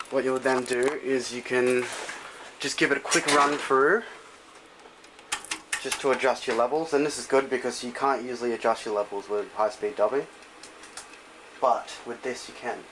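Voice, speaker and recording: A man talks through a how-to in an informal, offhand style, with a mid-pitched voice. The recording is homemade, with noticeable noise and clicks behind him. His delivery is loose and chatty, as a tutorial presenter. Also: steady tone, normal speed, long pauses. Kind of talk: casual hands-on tutorial chat. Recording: noisy home recording with clicks.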